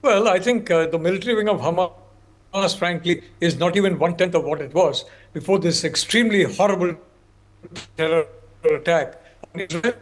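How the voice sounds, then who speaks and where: A man from India with an Indian accent, commenting on a news panel as a professor in a measured, courteous way. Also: sits high.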